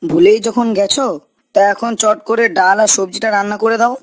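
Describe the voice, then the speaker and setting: A woman's voice: mid-range voice, fairly even intonation, a little fast, no echo, close to an everyday voice. A woman voicing a dutiful young village husband in a cartoon, questioning and complaining. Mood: nagging, irritated. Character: dutiful, nagging young married village son. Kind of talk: cartoon character dialogue. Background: faint music.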